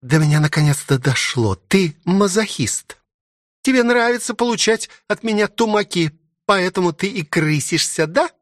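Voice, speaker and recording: A man's voice: high-pitched, lively intonation, moderate pace, no room sound, clean studio sound, pitched above the performer's own voice. A man voicing a fierce, possessive young princess who growls and demands in an audiobook. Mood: taunting, impatient. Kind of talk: audiobook character voice.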